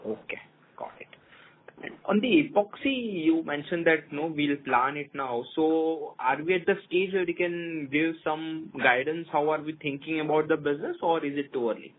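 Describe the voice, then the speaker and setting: Guy analyst calling in w questions, slightly high voice, phone sound, polite. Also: moderate pace. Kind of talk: earnings call questioning. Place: phone call into conference. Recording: narrowband phone line, faint noise.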